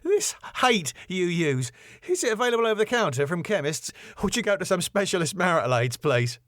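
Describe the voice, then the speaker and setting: A man's voice: high voice, big pitch swings, brisk, fairly bright tone, no room sound, clean studio sound, pitched above the performer's own voice. A man voicing a cheeky, glib music promoter who wisecracks and placates with a flippant manner.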